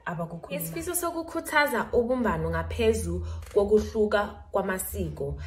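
A woman's voice: mid-range voice, fairly bright tone, slight background noise. A teenage South African schoolgirl giving a careful, recited presentation about her country, recorded indoors on a phone or webcam. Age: teens. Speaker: student presenter.

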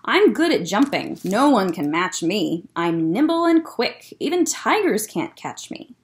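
Woman reading as plucky little boy kitten, animated. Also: mid-range voice, unhurried. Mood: proud. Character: small plucky boastful male kitten pretending to be tiger. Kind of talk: children's picture book read-aloud.

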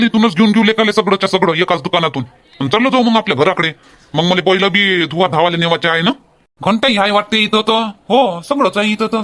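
High-voiced folksy village man , acted by a man. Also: fairly bright tone. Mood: chatty. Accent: Varhadi Marathi. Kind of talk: homemade comedy cartoon dialogue.

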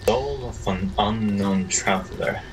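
A man's voice: on the low side, takes it slowly, crowd noise. A man chatting casually during co-op gameplay, a little hesitant and stammering as he talks. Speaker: gamer following in co-op. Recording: clear background noise on voice.

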